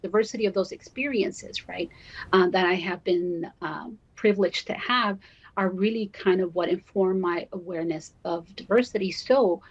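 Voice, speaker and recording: A woman from Guatemala and Miami giving measured, deliberate, explanatory answers as a guest in a broadcast interview, often checking in as she goes. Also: on the low side, slightly rough, muffled recording. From born in Guatemala, raised in Miami, Florida. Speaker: university diversity officer, interview guest.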